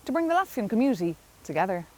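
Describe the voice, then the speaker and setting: A woman presenting a magazine news report, reading scripted narration in a smooth, even way. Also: medium pitch, racing, music in the background. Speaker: show presenter.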